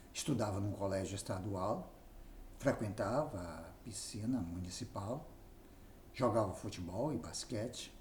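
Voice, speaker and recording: A man with a Brazilian accent narrating a written memoir aloud in an expressive reading style. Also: on the low side. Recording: roomy echo, faint background noise.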